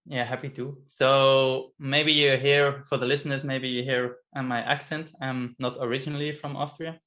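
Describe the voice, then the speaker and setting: A man, speaking as an interview guest over a video call from home. His pitch sits in an ordinary middle range, and he talks thoughtfully, often pausing on fillers and restarting his sentences. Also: flat delivery, sharp tone. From Belgium. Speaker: guest, business coach. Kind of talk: casual interview chat. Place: home in Vienna.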